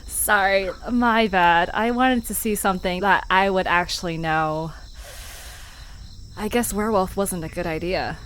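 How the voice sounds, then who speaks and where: A woman's voice: medium pitch, measured pace, fairly bright tone, a little hiss or hum, close to an everyday voice. A woman playing a curious, easily flustered visitor in an audio drama, full of anxious questions. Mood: worried. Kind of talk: fiction podcast audio drama acting.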